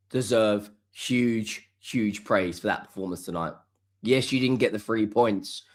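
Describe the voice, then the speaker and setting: A hoarse man hosts a solo football reaction, talking emphatically and often repeating key words for stress. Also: mid-range voice, a bit bright. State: hoarse, coming down with flu. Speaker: football channel host. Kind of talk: solo post-match reaction.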